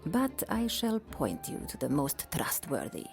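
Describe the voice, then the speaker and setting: An actress playing a gracious, playful Persian empress in an audio drama, with regal, wry, formal phrasing. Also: female voice, low-pitched, huge pitch swings, unhurried, creaky, exaggerated voice. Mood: amused, helpful. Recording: music under the voice.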